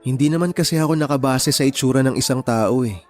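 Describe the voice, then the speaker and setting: An actor playing a kind, principled young man in a Tagalog radio romance, speaking gently and earnestly. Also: medium pitch, quick pace, soft background music, natural voice. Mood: gentle, earnest.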